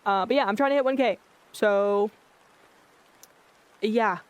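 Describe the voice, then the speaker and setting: Streamer rambling to chat, flat blunt monotone, keeps forgetting what they were saying, mid pitch. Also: measured pace, clean tone. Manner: monotone, blunt-sounding delivery; rambling, loses track of thoughts. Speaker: livestreamer. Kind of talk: casual chat with live viewers. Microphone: filtered streaming mic.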